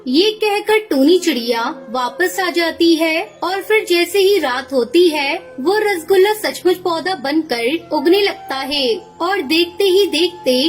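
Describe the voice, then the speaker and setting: A woman giving scripted narration of a children's moral story. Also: extremely high pitch.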